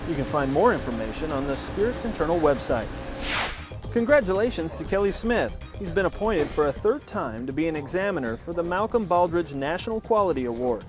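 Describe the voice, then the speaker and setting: A man reading a company newsreel as its anchor, in a smooth scripted announcer delivery. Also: a little high, very animated, brisk, music in the background. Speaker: news anchor. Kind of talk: scripted news narration.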